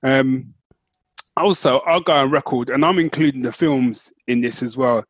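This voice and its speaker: Guy on a podcast talking tv casually, repeats himself for emphasis, dry sound, average pitch. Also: moderate pace, breathy edge, dry recording. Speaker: podcast co-host. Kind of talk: casual chat, reviewing TV shows.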